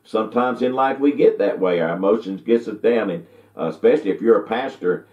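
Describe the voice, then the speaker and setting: A man's voice: lowish voice, a little fast. Folksy older man teaching, tinny. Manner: folksy, rambling, with many restarts and fillers. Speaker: Bible teacher. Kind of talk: Bible lesson to camera. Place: indoor study with bookshelves. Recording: tinny, echoey, from far off.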